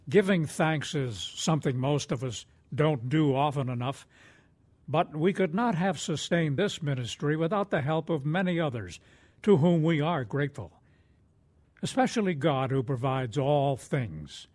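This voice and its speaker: A man hosting a radio program, reading a scripted appeal in a solemn, measured manner. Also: medium pitch, darkish tone, soft background music.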